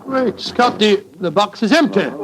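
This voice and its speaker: An actor playing a blustering, baffled older English doctor in a 1940s radio drama, with a gravelly voice and sputtering delivery. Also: male voice, high-pitched, expressive, a little slow, a crowd in the background. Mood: astonished. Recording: vintage 1940s radio broadcast.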